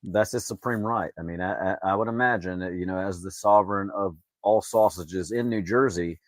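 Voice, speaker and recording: A low-voiced man riffs through a casual chat as co-host. His manner is joking and mock-grand, and the sound is dry. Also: normal speed, dry recording. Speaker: show co-host.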